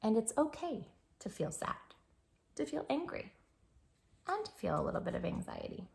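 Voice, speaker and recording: A woman's voice: on the high side, big pitch swings, moderate pace, gravelly, darkish tone. A woman hosting a children's read-aloud, talking affectionately and expressively straight to the young listener. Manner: affectionate, addressing the child listener directly and intimately. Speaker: children's storytime host and read-aloud reader. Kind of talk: children's storytime talk and picture-book read-aloud.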